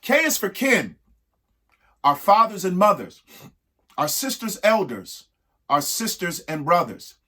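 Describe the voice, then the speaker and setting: A husky-voiced man reading a rhyming picture book aloud expressively, emphatic, with pauses between short phrases. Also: high voice, sing-song, bright, forceful tone. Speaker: reader of a children's picture book. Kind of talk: expressive read-aloud of a rhyming alphabet book with spoken asides.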